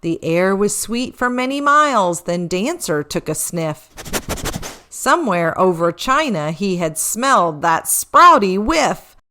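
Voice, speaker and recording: A woman reading a rhyming children's story expressively, with a measured, singsong rhythm. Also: mid-range voice, very animated, pushed and bright, a little hiss or hum.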